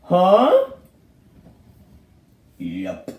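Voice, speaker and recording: Animated puppet-show host in an echoey room. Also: medium pitch, extremely slow. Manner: theatrical, exclaiming, storyteller-like. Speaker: host showing a puppet collection. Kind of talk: narrated homemade puppet show. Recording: roomy echo with faint noise.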